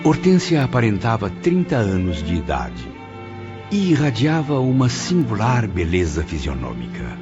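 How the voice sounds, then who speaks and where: A man's voice: lowish voice, huge pitch swings, moderate pace. A man with a Brazilian accent acting as a spirit who solemnly recounts his afterlife journey in an audio drama. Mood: solemn wonder. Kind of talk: scripted audio drama narration. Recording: muffled audio drama, loud music bed.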